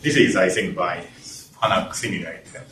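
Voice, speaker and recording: A man's voice: sits low, normal speed, fairly bright tone. Man lecturing in an echoey room. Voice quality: non-native English, drops articles. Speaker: engineering professor giving a lecture. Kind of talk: academic lecture. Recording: roomy, distant lecture hall capture with faint room noise.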